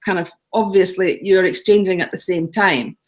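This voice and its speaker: A woman's voice: fairly low pitch, moderate pace. A middle-aged Scottish woman chatting as a guest in an interview, rambling through practical explanations with ums and little laughs. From Scotland. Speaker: guest, home exchange author. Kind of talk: casual interview talk.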